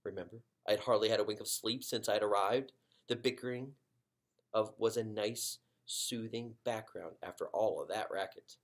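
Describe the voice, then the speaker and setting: A man narrating a children's book expressively as a story reader, with dramatic pauses and drawn-out emphasis. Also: fairly low pitch.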